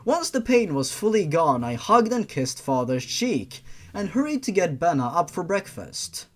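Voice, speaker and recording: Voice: mid-range voice, very animated, moderate pace, faint music behind. A narrator reading fan fiction aloud in a theatrical, irreverent style. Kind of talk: dramatic fan fiction reading with mocking asides.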